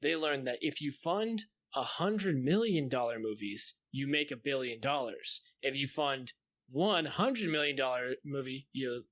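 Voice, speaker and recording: A man's voice: mid-range voice, animated, a little slow, fairly bright tone. A man talking casually as a podcast co-host, explaining things in an emphatic way.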